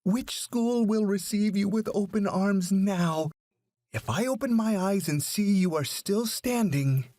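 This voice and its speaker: A man's voice: high voice, a little slow, warm tone, dry recording, studio quality, pitched above the performer's own voice. A man voicing an exasperated mother who scolds with pointed questions in a story. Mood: furious scolding.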